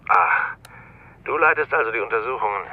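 An actor playing a shrewd, easygoing newspaper journalist on the telephone in a radio drama, teasing and familiar in manner. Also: male voice, mid-range voice, animated, brisk, husky, narrow, tinny audio. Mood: wry, familiar. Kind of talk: naturalistic radio drama acting. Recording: voice on telephone, faint noise.

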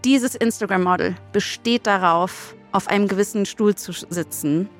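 A woman casually pitching a story as a co-host, setting the scene in colloquial language. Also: normal speed, faint music behind. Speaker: co-host pitching a crime audio drama story.

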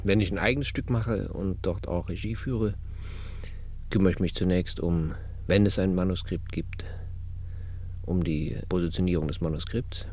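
Interviewee, man, very low voice, laying out a process methodically. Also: fairly even intonation, normal speed, a little mellow. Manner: methodical, step-by-step explanation. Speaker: radio drama author and director. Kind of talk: interview statement.

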